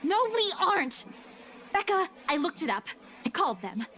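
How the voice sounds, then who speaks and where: A desperate young woman presses her case with insistent urgency. Her voice sits very high, and she keeps pushing even when brushed off, in a game scene. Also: moderate pace, slight background noise, heavily acted. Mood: urgent. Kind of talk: video game voice acting.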